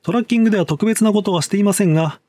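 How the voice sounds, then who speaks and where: A man's voice: sits high, very fast, warm tone, dry recording. A man presenting as a lead animator, reading a scripted technical explanation in a formal, polite manner.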